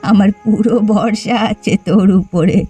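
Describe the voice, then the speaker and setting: A woman's voice: medium pitch, a little slow, warm tone, breathy edge. Woman acting encouraging elder woman, cartoon, reassuring, confident, advising, music behind.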